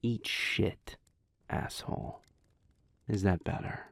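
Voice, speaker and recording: Brief: man voicing a fed-up vampire boyfriend, deep, gravelly, slow, hushed and curt. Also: steady tone, heavily acted. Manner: blunt, irritable, swearing. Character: bitter young-turned male vampire, the listener's partner. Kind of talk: audio roleplay acting.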